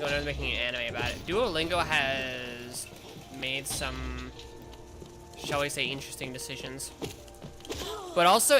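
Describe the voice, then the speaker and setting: A man talks casually while streaming a game, wandering through half-finished thoughts and correcting himself as he goes. His voice sits a little high, and he breaks into sudden loud outbursts at the game. Game music plays clearly behind him. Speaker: vtuber streamer. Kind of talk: casual gameplay chat.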